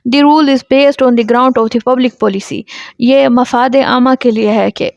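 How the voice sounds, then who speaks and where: A woman's voice: a little high, steady tone, creaky, a little mellow, no room sound. A woman with a Pakistani accent giving an explanatory lecture, reading out legal text and explaining it as a teacher. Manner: switches between Urdu explanation and slowly read English text. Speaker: law lecturer. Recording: dull lo-fi audio.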